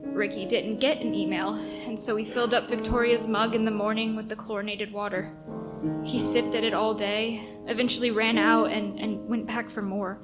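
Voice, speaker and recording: Woman playing an anxious, high-voiced guilty young man, music behind. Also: flat delivery, normal speed, lightly acted. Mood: regretful. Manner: hesitant, stammering, earnest. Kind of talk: radio play acting, confessional narration.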